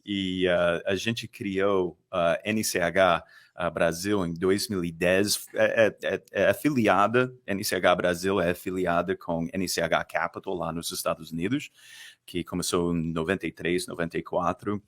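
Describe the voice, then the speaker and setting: A middle-aged man from Texas with a Texan American accent and English as his first language, chatting as a podcast guest with halting speech and frequent fillers. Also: sits low, fairly even intonation. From Texas, USA. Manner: halting, many fillers, laughs easily. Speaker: guest, fund manager. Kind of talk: casual podcast chat.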